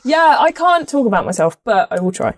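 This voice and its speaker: A young woman from France, French-born, speaking with an East End London accent as a podcast co-host, loud, laughing often and swearing in casual chat. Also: on the high side, very animated, fairly quick, a little hiss or hum.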